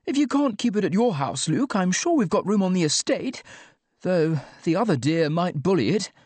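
A male narrator plays a posh, sweetly clueless young office colleague in an audiobook. The voice sits high and swoops about with naive, earnest enthusiasm, close and dry. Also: wildly swooping pitch, brisk, husky, no room sound, exaggerated voice. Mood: tearful concern. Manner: upper-class, gamely enthusiastic, naive. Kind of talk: audiobook character dialogue.

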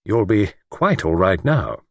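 A man voicing a prim, gentle, genteel older lady in an audiobook, speaking politely and properly. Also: deep voice, very animated, measured pace, no room sound, studio quality, exaggerated voice.

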